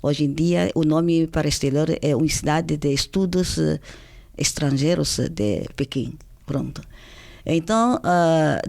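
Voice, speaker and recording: A woman's voice: low voice, vocal fry, a little mellow. An older woman from China with a Chinese accent, answering interview questions in a casual, hesitant way with many fillers and restarts. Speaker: guest author.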